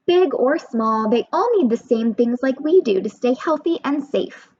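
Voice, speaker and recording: A woman voicing a well-traveled, caring marigold flower lady in a steady, reassuring read. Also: sits high, measured pace, husky, dull audio, exaggerated voice.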